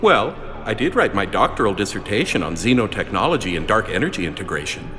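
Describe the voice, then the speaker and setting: A man playing an eager, bumbling, apologetic fan of a space commander in a video game, excitable and easily flustered. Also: fairly low pitch, fast talker, over music. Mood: flustered, helpful.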